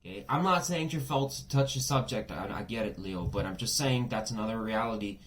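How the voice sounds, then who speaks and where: A man giving a casual tarot reading, chatty and blunt, swearing freely and full of asides, his voice a bit worn and hoarse as if under the weather. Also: on the low side, brisk, slight background noise. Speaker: tarot reader.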